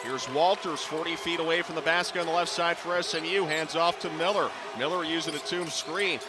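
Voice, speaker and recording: A middle-aged man calling live basketball on radio in clipped running descriptions that can rise to excited calls. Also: fairly high pitch, fairly quick, background noise. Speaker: play-by-play basketball announcer. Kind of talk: live radio sports commentary. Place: courtside in a basketball arena.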